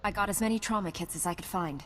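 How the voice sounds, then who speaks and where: A woman's voice: medium pitch, fast, fairly bright tone, close to an everyday voice. A woman playing a resolute, earnest knight heroine in a science fiction video game, with a composed manner. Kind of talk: video game cutscene acting.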